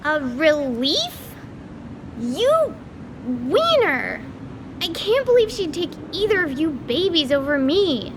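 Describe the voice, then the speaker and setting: Very high, bratty angry girl. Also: very animated, takes it slowly, far from the mic, heavily acted. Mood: indignant. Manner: petulant, indignant. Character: angry, bratty young girl, sulky eavesdropper. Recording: off-mic, noisy ambient backdrop.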